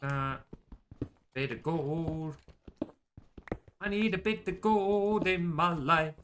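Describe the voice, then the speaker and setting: A man's voice: fairly high pitch, slow pace, a bit bright, a little hiss or hum. A young man chatting casually while he streams a game, self-mocking and swearing when things go wrong. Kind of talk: casual gameplay commentary.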